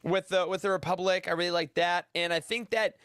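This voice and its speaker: High-pitched man in casual chat. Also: steady tone, brisk, fairly bright tone, smooth, no echo, clean studio sound. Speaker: podcast panelist. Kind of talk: casual chat, giving a review.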